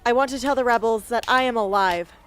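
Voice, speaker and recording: A woman's voice: fairly high pitch, fast talker, fairly bright tone, a little hiss or hum, lightly acted. A woman acting a defiant, earnest young rebel heroine in an amateur radio drama. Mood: defiant, impassioned.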